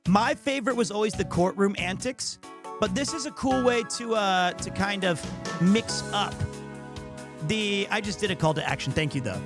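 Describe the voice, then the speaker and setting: A man's voice: sits high, normal speed. A man chatting casually as a game streamer during a livestream, commenting on play. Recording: loud game music behind.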